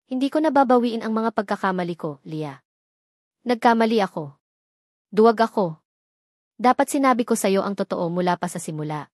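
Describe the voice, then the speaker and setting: A woman's voice: medium pitch, expressive, normal speed, long pauses, dry recording, clean studio sound, natural voice. A woman voicing a remorseful, polite young billionaire man in a dramatic story, solemn and pleading.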